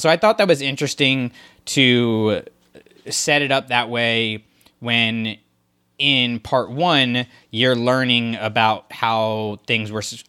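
A man's voice: medium pitch, pushed and bright, smooth. A man co-hosting a podcast, chatting casually about a story with many fillers and restarts. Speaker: podcast co-host. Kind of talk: casual discussion of a book.

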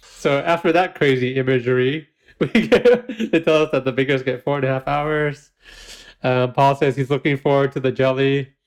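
A man's voice: medium pitch, steady tone, moderate pace. A man co-hosting a podcast, recapping a baking show in casual chat, giggling often and using lots of fillers. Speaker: podcast co-host.

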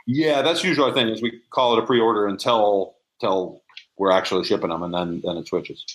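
A man's voice: lowish voice, a little fast, fairly bright tone, a little hiss or hum, low-quality recording. A man speaking casually as an interview guest, explaining things with frequent restarts and doubled phrases. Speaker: interview guest, prop and audio drama maker.

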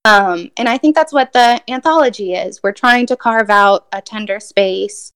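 A woman's voice: medium pitch, normal speed, a bit bright, clear, resonant tone. A woman poet from Brazil giving a reflective, thoughtful interview answer, with many fillers and restarts.

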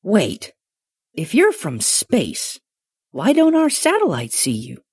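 A woman reading as an eager, curious young boy who is almost eleven and polite to a fault. His voice leaps all over the place and has a soft, breathy edge. He turns a little defensive when he feels accused. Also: mid-range voice, huge pitch swings, slow pace, no echo, clean studio sound, full character voice. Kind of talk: audiobook character voice.

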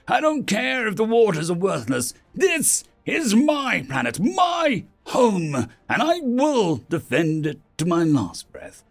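A man voicing a defiant, commanding leader of a doomed planet in a forceful, declamatory audio story style. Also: high-pitched, gravelly, pitched above the performer's own voice, heavily acted.

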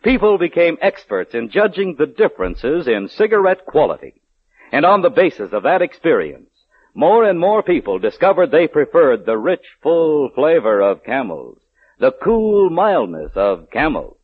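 Old radio ad guy, highish husky voice, selling hard in forties announcer style, muffled sound. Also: sing-song, normal speed. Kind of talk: 1940s radio advertising read.

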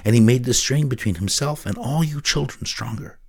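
A man reading the lines of a gentle older grandmother who patiently soothes and teaches her frightened grandson. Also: medium pitch, fairly quick, slightly rough, pitched above the performer's own voice.

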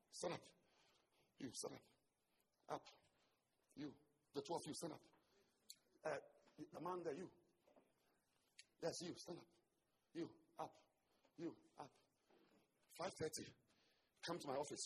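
A man with a Ghanaian accent preaches in an animated way, jumping between quiet jokes and loud shouts. His voice sits high and sounds bright and sharp. A faint hiss of noise runs behind him. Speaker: pastor. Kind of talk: sermon.

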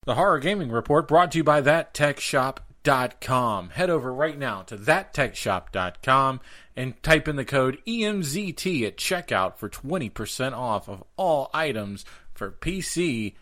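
A man's voice: mid-range voice, very animated, measured pace, pushed and bright. A man announcing a sponsor in a scripted promotional read.

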